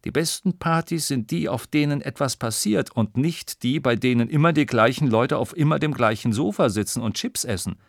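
A man narrating a children's science audiobook in a dramatic storytelling style, with deliberate pauses and emphasis. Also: mid-range voice, vocal fry, no echo, clean studio sound. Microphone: close studio microphone, dry.

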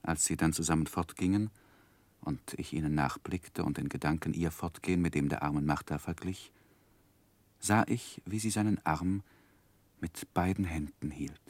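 Wistful storyteller, low pitch, measured reflective delivery, grave, dry studio sound, 1960s radio drama narration. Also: male voice, no room sound. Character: grown David Copperfield recalling his life, grave and wistful.

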